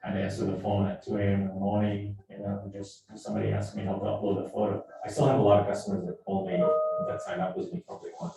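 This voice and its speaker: A man's voice: on the low side, flat delivery, fast, creaky, hardly any pauses. A man from Lebanon, a company founder and chief executive, telling his story casually in a promotional interview. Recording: very echoey, distant playback, faint music.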